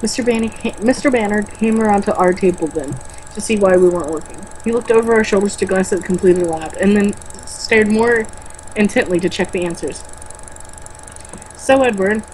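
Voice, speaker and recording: Female amateur reader, informal book narration with occasional stumbles, middle-pitched voice, faint noise behind. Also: a little fast, a little mellow, poor audio. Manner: informal, stumbling over words and rereading. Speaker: amateur reader in a comedic read-aloud video. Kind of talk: reading a novel aloud, first-person narration.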